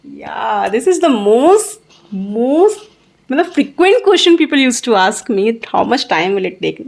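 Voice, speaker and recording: A woman's voice: a little high, big pitch swings, unhurried, vocal fry, darkish tone. A woman in her thirties, an English tutor with an Indian English accent, earnestly answering interview questions with advice. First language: Hindi. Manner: earnest, encouraging, repeats points.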